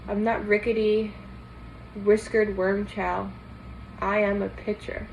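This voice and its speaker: Woman reading as a weary, gruff old man. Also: medium pitch, little pitch movement, takes it slowly, a little mellow, rough recording. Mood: proud. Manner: folksy ungrammatical muttering. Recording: clear background noise.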